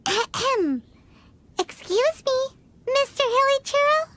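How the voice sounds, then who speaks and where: A woman voicing a tiny, cheeky floating fairy girl in a video game, with a squeaky childlike voice. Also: animated, slow pace, slight background noise, heavily acted. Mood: wry, conceding. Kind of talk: English game dub voice acting. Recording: loud music behind the voice.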